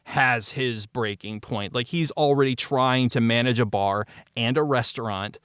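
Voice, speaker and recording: A man's voice: mid-range voice, measured pace, a bit bright, clear, resonant tone, dry recording, clean studio sound. A man in his forties telling behind-the-scenes stories in a candid, emphatic way, repeating phrases for stress. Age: 40s. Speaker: audio drama creator discussing the show behind the scenes. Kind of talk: animated conversational storytelling.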